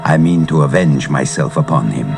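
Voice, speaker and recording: Gravelly, very deep vengeful villain. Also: male voice. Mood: cold, vengeful. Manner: slow, menacing, imperious. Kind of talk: read-along audio adventure acting. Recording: cassette transfer with music underneath.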